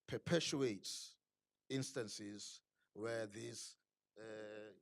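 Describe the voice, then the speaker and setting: A man's voice: on the low side, no room sound, studio quality. A man speaking at a book launch, earnest and analytical, often restarting with repeated words. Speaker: panel speaker. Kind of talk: unscripted talk at a book launch.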